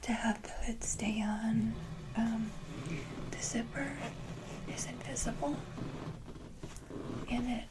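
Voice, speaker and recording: A woman hosting an ASMR video, speaking casually in a breathy, gentle whisper, in short halting phrases. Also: fairly high pitch, steady tone, heavy background noise. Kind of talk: soft-whispered ASMR, casual show-and-tell.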